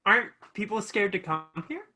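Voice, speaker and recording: A performer playing a self-absorbed teenage influencer at a table read of a comedy pilot, with an unhurried, vlogger-like delivery. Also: medium pitch, big pitch swings, bright, forceful tone. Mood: skeptical, underwhelmed.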